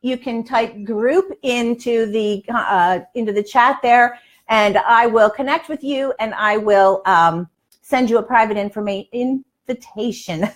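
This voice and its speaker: A woman's voice: a little high, a little slow. A woman in her sixties hosting a casual livestream from her kitchen, chatty and folksy, given to exclaiming. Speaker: live cooking show host. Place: home kitchen, indoors. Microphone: lapel mic.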